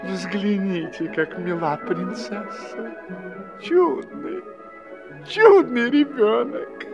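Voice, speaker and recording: High-voiced, pompous royal herald. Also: male voice, extremely slow, vocal fry, muffled recording, over loud music, full character voice. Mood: ceremonious, excited. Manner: ceremonious, excitable, flowery announcing. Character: fussy, pompous royal master of ceremonies. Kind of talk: Russian fairy-tale audio play acting.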